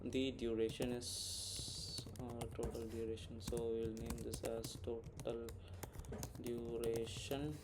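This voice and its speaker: A man's voice: mid-range voice, level tone, takes it slowly. A man walking through an informal screen-recorded coding tutorial, thinking aloud and often checking in with "right?". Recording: clear background noise, keyboard typing. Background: computer keyboard typing, background noise.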